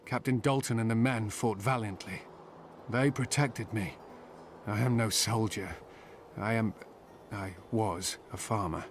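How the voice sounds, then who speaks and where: An actor playing a humble farmer turned reluctant militia captain in a fantasy game, earnest and self-doubting. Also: male voice, lowish voice, measured pace, slight background noise, close to an everyday voice. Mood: humble, burdened. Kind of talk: fantasy game character acting.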